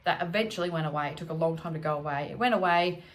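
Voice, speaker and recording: Aussie woman, croaky voice, chatty, working stuff out as she goes, faint hiss behind. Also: low voice, very fast. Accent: Australian. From Australia. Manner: thinking aloud, chatty, self-correcting. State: croaky from allergies. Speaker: homestead vlogger. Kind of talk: casual DIY vlog chat. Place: home, indoors.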